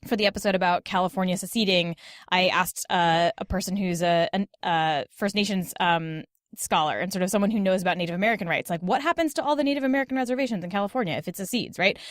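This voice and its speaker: Woman podcast guest, quick conversational explainer, very dry close sound. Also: fairly low pitch, no echo. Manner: talkative, explanatory, frequent "like" and "sort of". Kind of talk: casual interview conversation.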